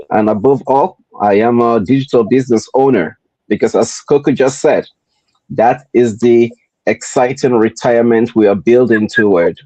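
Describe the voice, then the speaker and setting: A man from Nigeria with a Nigerian accent, hosting a motivational podcast in a deliberate, repetitive rhetorical style. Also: medium pitch, warm tone, dry recording, poor audio. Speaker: podcast host.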